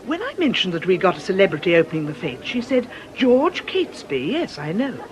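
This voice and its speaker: A woman playing a shrewd, observant nun in a radio drama, brisk, wry and confiding. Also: mid-range voice, very animated, natural voice. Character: shrewd, lively, observant nun, amateur sleuth. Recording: crowd bustle behind the voice.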